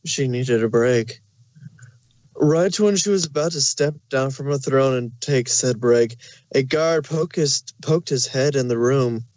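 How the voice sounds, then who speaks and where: Male narrator for fan fiction audio: mid pitch, scripted storytelling, very dry recording. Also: a little slow, a little mellow, no room sound, rough recording.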